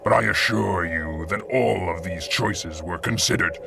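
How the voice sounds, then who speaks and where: An actor playing a grave, commanding villain mastermind in a 1930s-style radio serial, solemn, theatrical and deliberate. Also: male voice, low voice, gravelly, background noise. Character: grave, commanding male supervillain mastermind leading a secret cabal.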